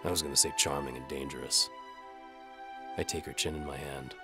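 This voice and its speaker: A man playing a sardonic, world-weary medieval bow for hire, narrating in a wry deadpan as scripted audio drama. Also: very deep voice, brisk, over music. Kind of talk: first-person scripted narration, hard-boiled audio drama.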